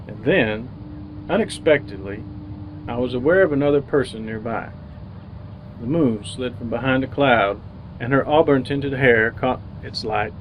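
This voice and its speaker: A man's voice: mid-range voice, slight background noise, natural voice, poor audio. An actor playing an earnest, jaded young ad man who narrates his misadventures in old-time radio drama style.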